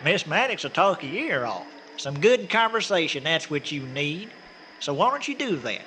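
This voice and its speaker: A high, very animated Southern American country man, played by a male actor in a comic radio drama. He is folksy, insistent and good-hearted, heard over faint noise. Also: normal speed. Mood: friendly, persuasive. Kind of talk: comic old-time-style radio drama.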